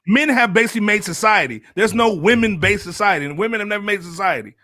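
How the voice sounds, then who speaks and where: A man's voice: high-pitched, fast, pushed and bright. A man with an American accent hosting a casual panel podcast in slangy, colloquial speech. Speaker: podcast host.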